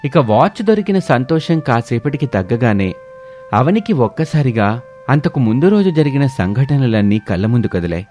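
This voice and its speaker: A man's voice: medium pitch, sing-song, moderate pace, darkish tone, soft background music. A man reading a scripted family story as a dramatic narrator.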